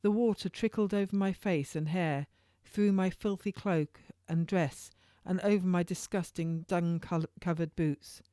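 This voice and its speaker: A woman's voice: fairly low pitch, fairly even intonation, measured pace, few pauses, dark tone, clean tone, no echo, studio quality. A woman author reading her own historical novel as first-person narration, in an informal read-aloud way with occasional stumbles.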